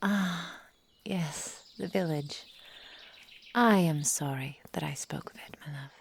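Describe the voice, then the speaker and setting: A woman playing an adoring, possessive immortal forest witch in an intimate ASMR roleplay, with soft whispery speech, tender endearments and formal storybook phrasing. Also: low-pitched, slow pace, gravelly, slight background noise, full character voice. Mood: wistful, tender. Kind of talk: intimate ASMR roleplay, slow dramatic delivery.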